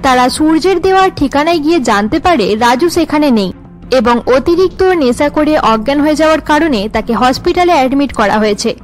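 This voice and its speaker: A woman's voice: high voice, expressive, fast, faint music behind. A woman giving scripted third-person story narration for a cartoon.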